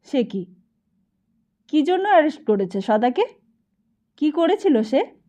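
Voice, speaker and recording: Woman voicing a testy, stingy village landlord, mellow-toned. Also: sits high, big pitch swings, pitched above the performer's own voice, heavily acted. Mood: alarmed. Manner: peremptory, rapid-fire questioning.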